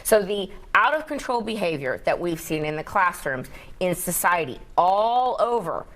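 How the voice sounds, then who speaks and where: A woman's voice: lowish voice, sing-song, moderate pace, a bit bright. A woman panelist arguing her point assertively in a panel debate. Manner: assertive, argumentative.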